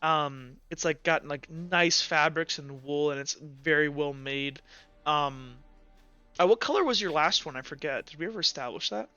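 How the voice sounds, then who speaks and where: A man's voice: a little high, moderate pace, pushed and bright, clean tone, no room sound, dull audio, faint music behind. A man improvising tabletop game narration as game master in a casual, rambling way.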